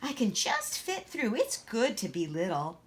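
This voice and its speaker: A woman voicing a quiet, timid, thoughtful little girl in a children's storybook, speaking in an earnest, hesitant way. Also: on the high side, huge pitch swings, unhurried, slight background noise, pitched above the performer's own voice. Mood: hushed, careful.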